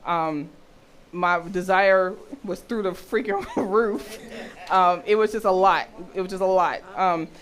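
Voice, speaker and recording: A woman with a deep voice for a woman, teaching a health session and often checking in with her listeners. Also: measured pace. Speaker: health and intimacy educator.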